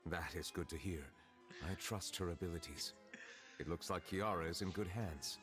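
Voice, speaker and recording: A man acts out a dignified judge in a game, composed and formal. His voice sits very low and steady, with clear game music playing behind. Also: normal speed, lightly acted. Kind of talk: video game voice acting.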